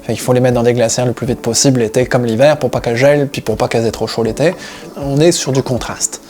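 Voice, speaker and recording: A man from the Paris region, telling about his own work and life in a relaxed interview account. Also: mid-range voice, fast, faint music behind. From Paris region, France, with Breton roots. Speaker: seaweed harvesting diver and business owner, interviewee.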